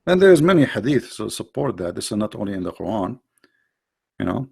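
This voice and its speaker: A man's voice: on the low side, sing-song, a little fast, vocal fry, darkish tone, husky. A middle-aged man hosting a live stream, lecturing emphatically on religion in non-native English with frequent restarts and reading out viewer questions.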